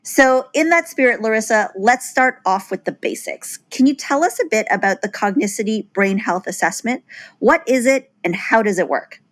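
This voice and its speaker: A woman's voice: on the high side, expressive, moderate pace. A woman co-hosting a podcast, asking friendly and playful questions of her guest. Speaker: podcast co-host. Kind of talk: casual podcast interview.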